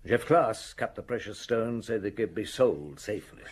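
An actor playing a weary, shrewd senior police inspector, gruff and commanding, in a radio drama. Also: male voice, lowish voice, lively intonation, normal speed. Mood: accusing, explanatory.

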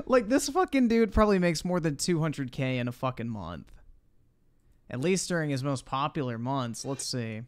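Man, unhurried, crisp dry sound, blunt slangy swearing, reaction streamer. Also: mid-range voice, lively intonation, no echo. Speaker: livestreamer reacting to a video. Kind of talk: casual reaction commentary.

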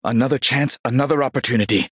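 A man voicing a genial, vain old warrior monk in a video game, speaking in a measured, courtly and wryly pious manner. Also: medium pitch, slightly rough, no echo, clean studio sound, close to an everyday voice. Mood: calm, courteous. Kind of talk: scripted game character voice lines.

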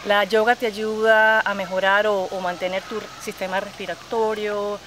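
A woman's voice: medium pitch, level tone, moderate pace, fairly bright tone. A woman in her thirties from Chile with a Venezuelan Spanish accent, a yoga instructor talking animatedly with her hands and many restarts in an outdoor interview. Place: outdoors by a park lake. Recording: outdoor noise behind the voice.

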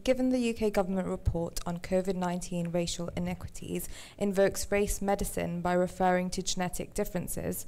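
A woman reading out a question from the online audience in a friendly, steady way. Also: lowish voice.

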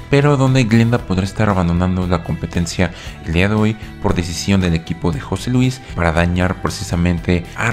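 A man's voice: deep voice, a little mellow. A man hosting a casual video commentary on a reality show, rambling with many fillers and asides.

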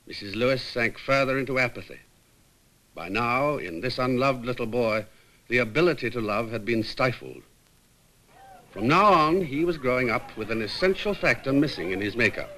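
An actor playing an articulate British psychiatric nurse, gravely narrating a case history in a 1950s film. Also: male voice, lively intonation, pushed and bright, rough recording. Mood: grave, explanatory. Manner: measured, grave, emphatic. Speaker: hospital staff member recounting a case history. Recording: faintly rumbling 1950s film soundtrack.

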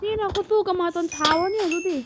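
A man voicing an excitable, bossy big-brother figure in a Minecraft cartoon, calling out warnings to his sibling. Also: very high voice, rapid-fire. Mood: excited. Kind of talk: cartoon narration. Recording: noisy recording.

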